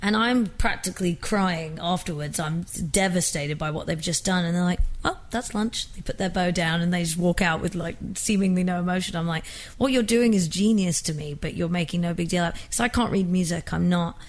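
A woman's voice: fairly low pitch, fairly quick, few pauses, a little hiss or hum. A young woman from England talks in a loose, conversational way, her English accent worn toward American. She is chatty and self-deprecating, and she often trips over and restarts her sentences. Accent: mixed English and American. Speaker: singer guest. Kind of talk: casual interview chat.

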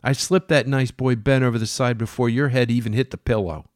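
A man's voice: fairly even intonation, brisk, a little mellow, no room sound, clean studio sound, close to an everyday voice. A man voicing a drunk, unhinged woman who speaks sweetly while threatening violence. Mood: menacing. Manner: cooing, falsely sweet, high-strung. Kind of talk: dramatic story reading.

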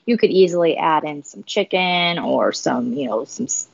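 Guest: low gravelly woman, animated and agreeable, muffled dry recording. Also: moderate pace, no room sound. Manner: agreeable, affirming. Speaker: guest cook. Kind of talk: casual cooking chat. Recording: dull dry sound.

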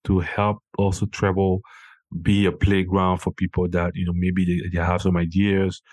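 A man from Cameroon speaks as a guest in a relaxed interview. His voice sits very low and moves little in pitch, and the recording is dry and close. He often backs up and restarts his sentences. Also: normal speed, dry recording. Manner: hesitant, many restarts and fillers. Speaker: founder and CEO, guest. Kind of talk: casual interview talk.

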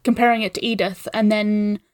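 A woman guest on a podcast, casually analysing film in a thoughtful, halting way as she feels for her words. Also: medium pitch, flat delivery, clean tone, no echo, studio quality.

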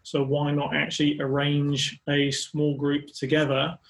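A man from the UK hosts a travel podcast, his voice a little high and fairly even in pitch. He asks questions in an upbeat, measured manner with occasional hesitations. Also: vocal fry, a little hiss or hum. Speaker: podcast host. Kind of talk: interviewing a guest.

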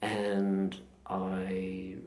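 Calm, deep-voiced Australian man. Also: a little hiss or hum. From Perth, Western Australia. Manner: calm, thoughtful, unhurried. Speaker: meditation teacher, guest. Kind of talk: reflective interview answers. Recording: roomy echo.

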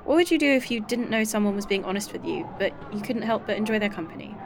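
A woman's voice: medium pitch, expressive, fast, background noise, natural voice. An actress playing a blunt, joking and curious woman in the 1980s, in an indie audio drama. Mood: earnest.

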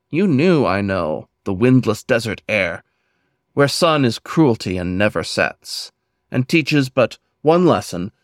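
A man with a medium-pitched voice gives a dramatic poetry recitation. His delivery is earnest and measured, with careful pauses between phrases. The sound is close and dry, with very little room. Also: dry recording. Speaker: poet and podcast host reading own poem.

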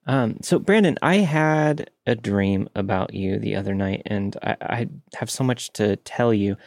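A man hosting a podcast, telling anecdotes casually in an animated, conversational way. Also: lowish voice, unhurried, vocal fry, no room sound, clean studio sound. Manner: animated storytelling with mimicked sounds. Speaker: podcast host.

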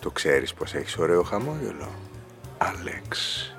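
An actor playing a smooth, flirtatious nightclub customer in a noir radio play, speaking quietly with suave familiarity. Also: male voice, sits low, big pitch swings, whispered, over music.

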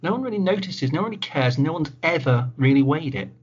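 A man hosts a podcast in an easygoing, informal manner. His voice sits a little high and sounds husky and breathy. The recording is dull and lo-fi. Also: fast. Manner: relaxed, informal, British slang. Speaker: podcast host. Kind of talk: casual chat over a video call. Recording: dull, lo-fi call audio.

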